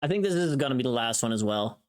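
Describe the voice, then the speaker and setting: A livestreamer talking off the cuff in a mid-pitched voice that moves around a lot. The manner is casual, joking and sweary. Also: animated, fast. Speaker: streamer making a voice pack.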